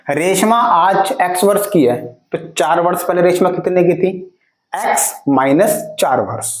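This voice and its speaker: A man in his twenties teaching math for an exam, addressing students directly and reading word problems aloud slowly, word by word. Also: sits high, echoey room. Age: 20s. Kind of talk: exam-prep video lesson.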